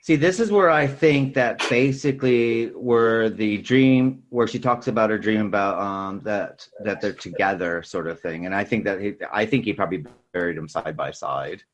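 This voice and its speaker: Male podcast co-host, lower-pitched voice, rambling conversational delivery in a casual movie chat. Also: normal speed, hardly any pauses. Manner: rambling, repeats "sort of thing" and "you know". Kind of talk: casual film discussion.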